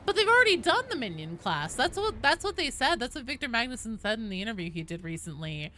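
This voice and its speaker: A woman's voice: fairly high pitch, very animated, quick pace, bright, forceful tone, slight background noise. A woman game streamer chatting casually and answering viewers, opinionated and enthusiastic, with casual swearing. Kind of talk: casual livestream chat reading and answering viewers.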